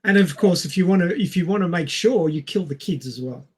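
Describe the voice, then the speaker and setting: A man with a fairly high, rough-edged voice chats on a podcast panel. He is casual and wry, given to joking as he explains things. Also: lively intonation, fairly quick. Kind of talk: casual chat.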